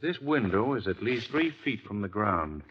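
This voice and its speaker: An actor with an American accent playing a composed, authoritative gentleman detective in an old radio mystery, on a muffled, faintly hissy recording. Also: on the low side, very animated, normal speed. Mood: composed, commanding. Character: composed, authoritative gentleman sleuth, taking charge. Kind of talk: 1940s radio acting.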